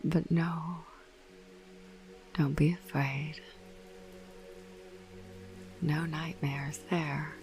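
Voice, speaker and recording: A woman narrating a bedtime ASMR podcast in a hushed, breathy whisper, soothing and unhurried. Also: low-pitched, flat delivery, faint music behind. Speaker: host of a sleep podcast.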